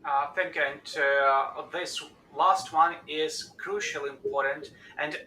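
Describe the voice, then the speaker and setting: A man who is not a native English speaker, hosting a live stream discussion and talking haltingly with many fillers. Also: on the high side, steady tone, pushed and bright. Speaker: host. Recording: echoey room with faint noise.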